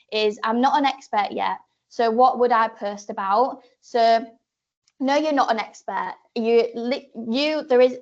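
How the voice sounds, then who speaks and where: A woman's voice: fairly high pitch, muffled recording. A woman in her twenties with a Yorkshire accent gives an informal, chatty presentation, rambling a little with fillers and restarts. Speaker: presenter giving a talk to apprentices.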